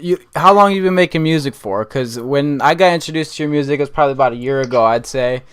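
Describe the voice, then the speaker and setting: A man in his twenties hosting a relaxed interview show, easygoing and rambling with lots of fillers. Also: on the high side, fast talker, clear, resonant tone. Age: 20s. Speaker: podcast host. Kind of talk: casual interview chat.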